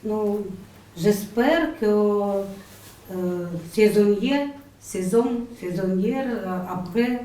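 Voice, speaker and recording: Woman, Ukrainian accent, halting second-language speech, mellow, slightly distant. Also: mid-range voice. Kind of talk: halting personal account. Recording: echoey room, off mic, faint noise.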